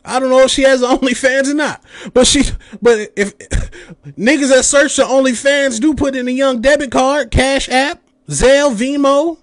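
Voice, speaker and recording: A man in his late thirties rants in a high voice, heated and profane, and mocks his critics as he talks. The sound is dry and close, with no room around it. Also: steady tone, moderate pace, no echo. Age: 30s. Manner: heated, profane, mocking rant with mimicked quotes. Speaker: podcast host. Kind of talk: ranting commentary.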